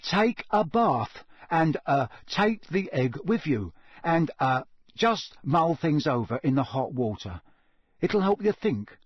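A man's voice: fairly high pitch, sing-song, slow, slightly rough, no room sound, full character voice. A man voicing a friendly, slightly awkward older schoolboy in a storybook, speaking in a casual, hesitant, confiding way. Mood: awkward, helpful.

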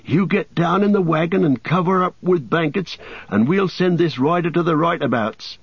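A man's voice: fairly high pitch, normal speed, breathy edge, dry recording, voice pushed up. A man voicing a loyal young hobbit who speaks anxiously and protectively, on a dull lo-fi audiobook recording.

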